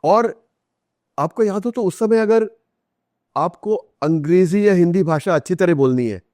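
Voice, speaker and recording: A man's voice: sits high, unhurried, a little mellow, no echo, studio quality. A man with an Indian accent tells stories in an interview, switching between Hindi and English with emphatic repetition. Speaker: author, podcast guest. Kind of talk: conversational storytelling in an interview.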